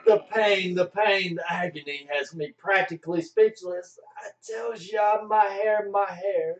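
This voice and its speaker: A man's voice: sits high, distant mic. A man playing a wounded spaceman who wails melodramatically about his pain in a live radio play. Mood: theatrical agony. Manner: melodramatic, drawn-out, whiny. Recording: dull, echoey room, off the mic.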